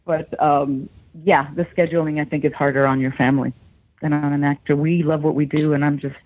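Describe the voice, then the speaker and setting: Animated woman, tinny phone-line voice. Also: low-pitched, fairly quick, no room sound. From United States. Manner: animated storyteller, acts out quotes, laughs often. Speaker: actress, interview guest. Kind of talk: casual interview chat.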